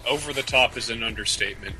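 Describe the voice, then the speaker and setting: A man's voice: mid-range voice, quick pace, sharp tone, soft background music, rough recording. A man co-hosting a podcast with casual banter, often stammering and repeating his words as he goes. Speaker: podcast co-host.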